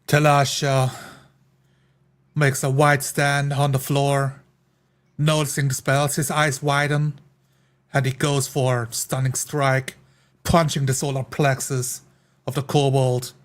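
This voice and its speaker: A man's voice: medium pitch, flat delivery, slow, long pauses. A man playing a tabletop game, describing his character's actions in the third person.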